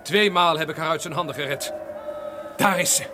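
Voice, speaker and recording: An actor playing a steadfast, loyal ally in an old-fashioned radio drama. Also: male voice, a little high, normal speed, background noise, lightly acted. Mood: reassuring.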